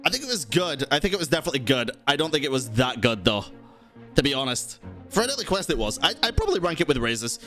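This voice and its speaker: A man's voice: mid-range voice, very animated, fast talker, a bit bright, soft background music. A man streaming casual commentary to his chat, talking emphatically, repeating himself and swearing freely. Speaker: streamer and YouTube host.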